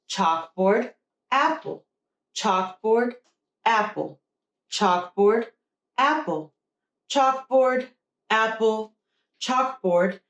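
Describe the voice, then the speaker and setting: A woman teacher reading a picture book aloud to young children with deliberate expression. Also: fairly high pitch. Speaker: preschool teacher. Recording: roomy echo.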